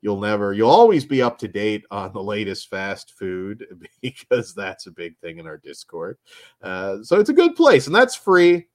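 Lively low-voiced man losing his voice. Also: normal speed, dry recording, studio quality. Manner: rambling, hesitant plugs with many fillers. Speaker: podcast co-host. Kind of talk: casual podcast chat.

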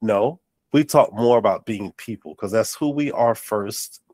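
A man's voice: fairly low pitch, a little slow, a little mellow, no echo, studio quality. A man hosting an interview podcast, sounding nasal and congested, with affirming and encouraging talk. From North Carolina. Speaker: podcast host. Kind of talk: casual interview chat.

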